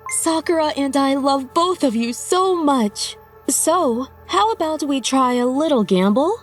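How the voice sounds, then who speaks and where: A woman voicing a wronged but resolute young wife and mother in a dramatized manga dub, firm and expressive. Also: high-pitched, unhurried, faint music behind. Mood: calm, resolved.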